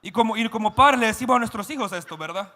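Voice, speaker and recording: Male church interpreter, high bright voice, quick self-corrections, long hall reverb. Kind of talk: live sermon interpretation into Spanish. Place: church sanctuary.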